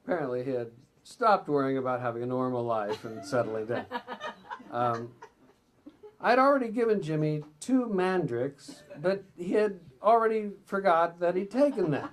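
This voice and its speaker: A man reading excerpts from a memoir aloud at a live reading, sometimes stumbling and stepping out of the text with asides. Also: sits high. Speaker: reader at a bookstore event.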